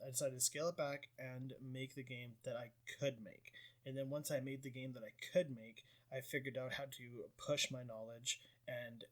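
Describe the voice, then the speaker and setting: A man from Canada walks through his indie game in a casual devlog voice, mid-pitched and unforced. He rambles and hedges with fillers and pokes gentle fun at his own work. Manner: rambling, self-deprecating, frequent fillers.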